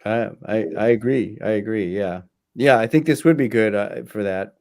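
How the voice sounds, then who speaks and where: Man, somewhat low voice, informal interview chat, halting, repeats himself. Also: darkish tone. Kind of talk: casual conversational interview.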